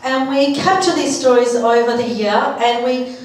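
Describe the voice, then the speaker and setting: A woman from Turkey giving an informal, personal conference talk in an expressive, chatty and heartfelt way. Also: high voice, normal speed. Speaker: conference speaker. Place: large echoey room at a live event. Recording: echoey hall, distant mic, faint noise.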